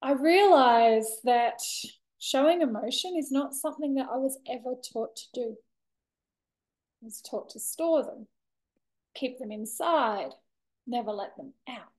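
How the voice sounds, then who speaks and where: Woman, thirties, high voice, unhurried, candid personal monologue, expressive emphasis. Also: rough recording. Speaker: vlogger sharing a personal story. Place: bright indoor room with large windows. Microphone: laptop webcam at desk distance.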